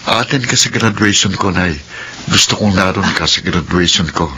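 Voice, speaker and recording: An actor playing a devoted, grateful young adult son, gentle and sincere, in a radio drama. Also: male voice, deep voice, normal speed. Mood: eager, gentle. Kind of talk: Filipino radio drama acting. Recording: clear background noise.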